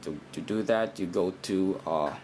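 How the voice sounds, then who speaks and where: A man's voice: sits low, measured pace. A man giving an unscripted, hands-on technical demonstration, thinking aloud with hesitant phrasing. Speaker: radio programming demonstrator. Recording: noisy amateur recording, steady background noise.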